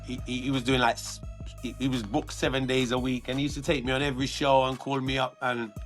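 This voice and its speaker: A man with a London accent, a guest artist talking earnestly through his career in a relaxed interview. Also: medium pitch, quick pace, soft background music. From London, England. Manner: earnest, reflective, many restarts.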